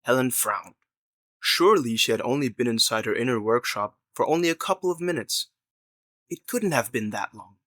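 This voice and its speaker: A man's voice: mid-range voice, expressive, moderate pace, a bit bright, no echo, studio quality. A man narrating a scripted story in the third person as the narrator of an audio drama.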